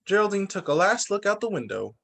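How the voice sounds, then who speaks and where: A man teaching young children, reading storybooks aloud over a video call. His voice sits a bit high and swoops lively up and down, and he breaks off to talk to the kids. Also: fairly quick, gravelly. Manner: animated, stops to explain to kids. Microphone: laptop mic on video call.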